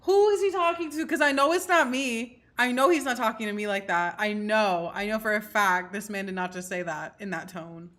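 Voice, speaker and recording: A woman's voice: medium pitch, normal speed, few pauses, fairly bright tone. A woman streaming a video game, giving casual, outspoken commentary in informal slang and sometimes reading text aloud. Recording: game music behind the voice.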